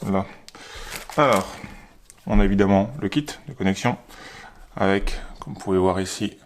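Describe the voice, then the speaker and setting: A man's voice: deep voice, moderate pace, slight background noise. A man giving a casual, unscripted product unboxing, informally thinking aloud as he handles the item.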